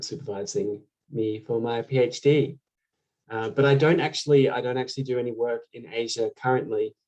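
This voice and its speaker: A man's voice: mid-range voice, darkish tone. A man, a PhD student, introducing himself casually on a video call. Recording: muffled video call audio.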